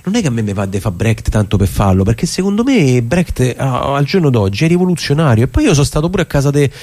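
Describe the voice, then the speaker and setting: A male actor as an eager young theatre director with a broad Roman accent. He talks in loose, colloquial radio-theatre dialogue, circling back and repeating words as he tries to persuade. His voice sits at a medium pitch. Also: very animated, darkish tone. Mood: enthusiastic. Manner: halting, repeats words while explaining.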